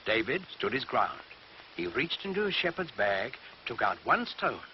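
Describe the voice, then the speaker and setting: A man narrating a scripted children's Bible story in a measured, theatrical, emphatic storytelling manner. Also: medium pitch, very animated, noisy background. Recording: dull lo-fi recording, loud music behind. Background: loud music.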